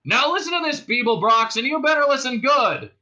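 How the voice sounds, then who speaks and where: A man's voice: high voice, sing-song, fast talker, lo-fi sound, pitched above the performer's own voice, full character voice. A man voicing a self-pitying, gun-happy space cop in a comic sci-fi audiobook, calling out in an earnest, indignant way. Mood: shouted, threatening. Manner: shouting through a bullhorn, earnest and indignant.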